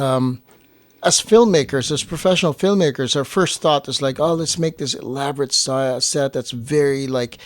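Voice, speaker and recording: A man co-hosting a casual explanatory chat, speaking haltingly with frequent pauses mid-phrase. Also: mid-range voice, no echo. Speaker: co-host and filmmaker.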